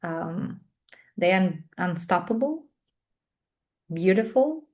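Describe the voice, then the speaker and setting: A woman in her thirties from Ukraine with a Ukrainian accent, answering interview questions on a video call in thoughtful, halting English with many pauses and fillers. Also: lowish voice, big pitch swings. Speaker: guest, English teacher and patient advocate.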